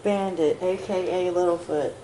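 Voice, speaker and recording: A stuffed-up woman chatting playfully and animatedly, with excited jumps in her voice. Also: fairly low pitch, normal speed, a crowd in the background. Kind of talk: casual chat. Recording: echoey room with faint noise.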